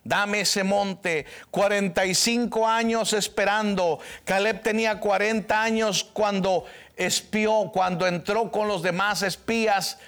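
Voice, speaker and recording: A man, preaching a fervent televised sermon that swings from soft to loud and emphatic. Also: sits high, steady tone, measured pace, smooth. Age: 60s. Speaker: pastor.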